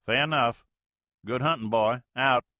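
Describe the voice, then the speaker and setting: A man's voice: medium pitch, unhurried, sharp tone, no echo, clean studio sound, pitched above the performer's own voice. A man reading an audiobook, voicing a Soviet Army major who fakes a folksy put-on Mississippi drawl over a ship's radio. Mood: folksy bluster. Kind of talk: audiobook character voice.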